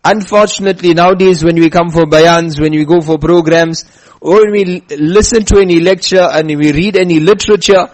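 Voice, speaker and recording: A man with a rather high voice delivers a religious talk. He slows down to stress his lessons and tells a story as he goes. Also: rough recording. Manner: emphatic, slows down to stress points. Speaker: preacher. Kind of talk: religious lecture with chanted scripture.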